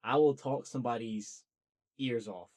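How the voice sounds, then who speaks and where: A man's voice: mid-range voice, a little slow, a little mellow. A young man talking earnestly and at length to camera about starting a podcast, in a loose, chatty way. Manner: talkative, earnest, self-motivating. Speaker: podcast host. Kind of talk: casual solo monologue.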